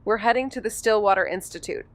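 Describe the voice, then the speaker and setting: Woman acting as wry, clear-voiced young detective. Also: medium pitch, quick pace, close to an everyday voice. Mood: guarded, earnest. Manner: wry, deadpan. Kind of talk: audio drama dialogue and noir narration.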